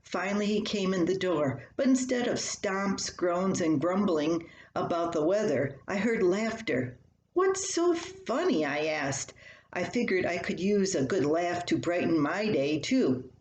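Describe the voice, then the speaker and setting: Older female columnist, homespun storyteller, slightly low unhurried voice, recorded in a roomy home space. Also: darkish tone. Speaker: columnist reading own story. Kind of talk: personal storytelling, read aloud. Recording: roomy echo, faint background noise.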